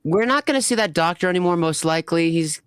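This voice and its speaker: Woman reading fanfic as narrator, giggly and halting, really animated, dry sound. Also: fairly low pitch, clean tone, no room sound. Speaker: narrator and host. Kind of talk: amateur comedic fan fiction reading.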